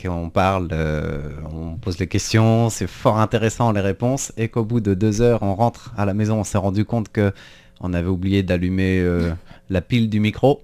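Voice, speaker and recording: Male radio presenter, lowish pitch, casual conversational delivery. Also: steady tone, normal speed, warm tone, clean tone.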